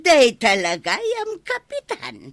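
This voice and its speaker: Mocking female village busybody, Ilocano accent, very high bright voice with big swings, radio comedy. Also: wildly swooping pitch, slow pace, no echo, exaggerated voice. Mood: scolding, complaining.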